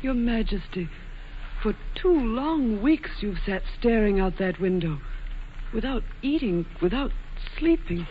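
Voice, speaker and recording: A woman's voice: mid-range voice, lively intonation, unhurried, natural voice. An actress playing a young, devoted lady-in-waiting, earnest and deferential, in a 1940s radio drama. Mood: worried. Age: young adult. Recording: dull, noisy old radio recording.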